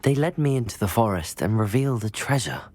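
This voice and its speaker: A man's voice: lowish voice, expressive, brisk, darkish tone. A young man playing a polite, helpful teenage student in a video game, speaking in a courteous, earnest way. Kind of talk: video game dialogue acting.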